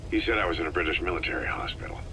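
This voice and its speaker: Very deep-voiced, terse wounded soldier. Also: male voice, lively intonation, racing, noisy background. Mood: guarded, questioning. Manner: terse, short questions. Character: gruff, terse wounded soldier just back from long coma. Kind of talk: video game voice acting.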